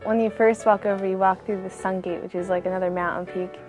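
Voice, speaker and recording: A woman's voice: mid-range voice, steady tone, fast, soft background music. A teenage American girl giving casual, unscripted interview answers. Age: teenager, about 15.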